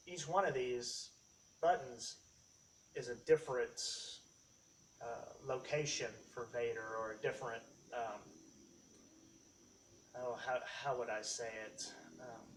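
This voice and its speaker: A man casually demonstrating hobby gear as a video host, explaining in a halting, rambling way. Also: mid-range voice. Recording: distant mic, faint background noise.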